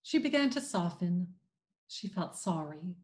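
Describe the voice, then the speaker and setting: A woman reading a classic novel aloud, slow and expressive, giving the characters voices. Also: on the low side. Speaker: community reading program volunteer reader.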